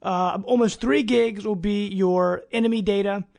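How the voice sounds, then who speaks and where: A male podcast host with a notably high voice and plenty of vocal fry. He presents gaming news casually and conversationally, as informal talk between co-hosts. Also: fairly even intonation, normal speed, darkish tone.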